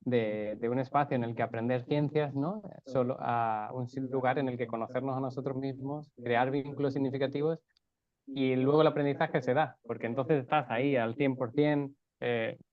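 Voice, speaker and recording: A man's voice: mid-range voice, steady tone, normal speed, no echo. Reflective male moderator, dull dry audio. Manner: reflective, self-correcting. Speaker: event moderator. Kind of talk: casual moderating.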